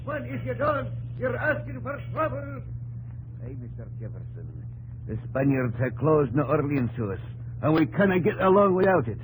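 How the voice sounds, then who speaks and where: A blunt frontiersman speaks with folksy emphasis and a fairly high male voice. He is excitable, in vintage radio drama fashion, on an old recording with slight noise. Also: normal speed, low-quality recording. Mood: angry, shouting. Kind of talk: 1950s radio drama acting.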